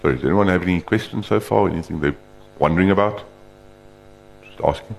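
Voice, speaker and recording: A man giving an informal, rambling live demonstration of screen reader software, talking loosely with frequent restarts. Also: sits low, fast talker, gravelly.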